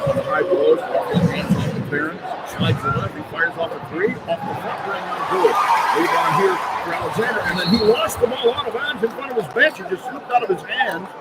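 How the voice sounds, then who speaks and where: A man's voice: high voice, fairly quick. American radio basketball announcer, man, roaring crowd. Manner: clipped, running description of the action. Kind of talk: live radio sports commentary. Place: large indoor basketball arena. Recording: live arena broadcast with loud crowd noise. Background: loud crowd and arena noise.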